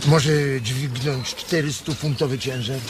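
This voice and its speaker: An actor playing a sharp, protective, irritable migrant ranch worker in a radio play, gruff and quick-tongued. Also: male voice, medium pitch, fairly even intonation, background noise, natural voice. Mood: evasive, eager. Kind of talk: radio drama acting. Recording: loud outdoor ambience with birdsong.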